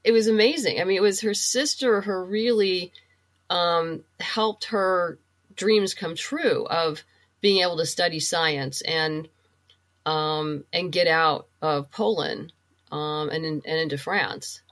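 A woman's voice: fairly low pitch, slow pace, a bit bright. A woman being interviewed as a podcast guest, explaining things thoughtfully in a casual, conversational way.

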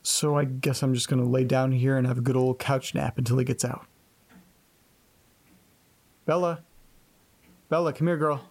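A man acting in a naturalistic audio drama as a laid-back, sleepy, awkwardly friendly guy with a gravelly, husky voice. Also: medium pitch, fairly even intonation, moderate pace, long pauses, a little mellow, close to an everyday voice. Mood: drowsy, casual. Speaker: lead actor in horror audio drama.

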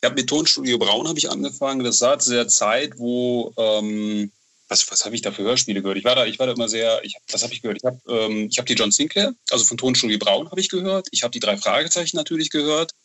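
A man talking casually as an interview guest, telling stories haltingly, searching for words and adding frequent tag questions. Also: mid-range voice, sharp tone. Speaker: novelist and TV writer, interview guest. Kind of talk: casual interview chat, storytelling.

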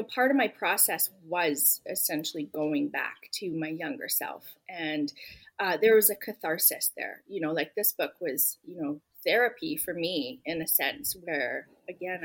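A woman's voice: deep voice, slightly rough. A woman author being interviewed, speaking earnestly and emphatically, often saying "you know". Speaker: guest author. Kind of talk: podcast interview answers.

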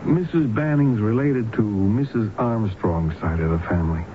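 A man's voice: lowish voice, moderate pace, lightly acted. An actor playing an intrigued, earnest male colleague of a doctor in 1970s radio drama style, musing and hesitant. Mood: intrigued, troubled. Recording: dull noisy old radio broadcast recording.

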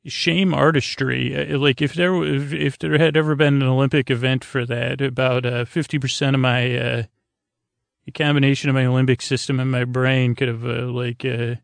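A man with a creaky voice hosting a bedtime podcast in a meandering, halting monologue, stammering through tangents in a languid, lulling way. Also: medium pitch, flat delivery, dry recording. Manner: languid, drawn-out delivery meant to lull. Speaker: podcast host.

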